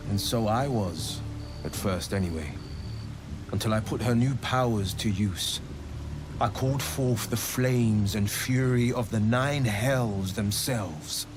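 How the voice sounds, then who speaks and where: An actor playing an earnest, haunted monster hunter in a video game, speaking in a measured, solemn, confessional way. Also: male voice, on the low side, over music. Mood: rueful. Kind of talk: dramatic video game monologue.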